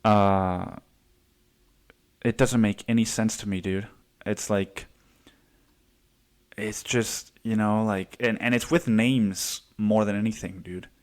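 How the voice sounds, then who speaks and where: A young man from Cancun hosting a solo podcast, ranting in a profane, casual way and mimicking other people in a higher voice. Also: fairly even intonation, takes it slowly, long pauses. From Cancun, Mexico. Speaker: podcast host.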